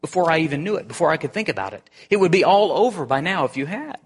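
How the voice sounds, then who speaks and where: A man's voice: medium pitch, fast, long reverb tail, close to an everyday voice. A man voicing a frightened, peevish male ghost who talks in a frantic, fretful way in a storybook reading.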